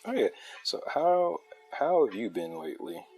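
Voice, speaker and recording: A man with a deep, soothing voice chatting loosely while he draws a comic live for viewers. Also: sing-song, normal speed, a little mellow, faint music behind, poor audio. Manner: laid-back, loose and chatty, with laughs and restarts. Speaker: artist drawing a comic on a livestream. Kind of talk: casual rambling chat while drawing.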